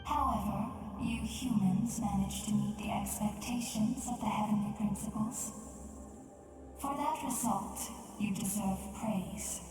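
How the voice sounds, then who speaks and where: Echoing, solemn goddess of death. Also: female voice, mid-range voice, measured pace. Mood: cold, solemn. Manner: stately, formal. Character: solemn, imperious female death deity, ancient and aloof. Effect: heavy reverb. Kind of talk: video game voice acting. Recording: very echoey, distant, under music.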